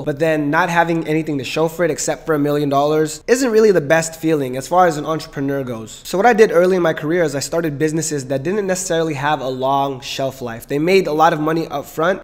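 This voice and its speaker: Upbeat young guy in his twenties, a bit high-voiced, punchy and persuasive, business vlog delivery. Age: 20s. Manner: energetic, emphatic, persuasive. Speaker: entrepreneur vlogger. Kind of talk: direct-to-camera business vlog.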